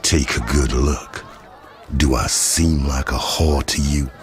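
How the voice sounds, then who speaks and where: An actor playing a menacing, sardonic crime boss in a video game, mocking and intimidating. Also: male voice, a bass voice, slow, soft background music.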